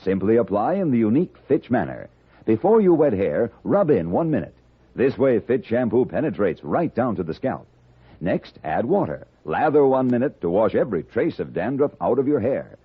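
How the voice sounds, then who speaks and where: American guy doing old radio commercial, really raspy, tinny dry sound, pitching hard. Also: sing-song, normal speed, dry recording. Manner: salesmanlike, emphatic. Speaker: commercial announcer. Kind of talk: vintage radio advertisement read.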